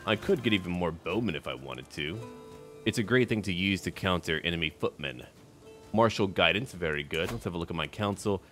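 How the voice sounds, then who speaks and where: A man's voice: deep voice, expressive, a little fast, a bit bright, soft background music. A man hosting a roleplay strategy game video, musing aloud in short, clipped remarks. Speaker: gaming video host.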